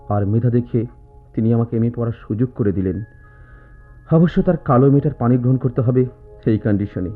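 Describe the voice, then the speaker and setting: An actor playing a brash, teasing young student in a radio drama, bossy and declamatory. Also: male voice, mid-range voice, natural voice. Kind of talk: Bengali radio drama acting. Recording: tinny lo-fi radio play, music behind.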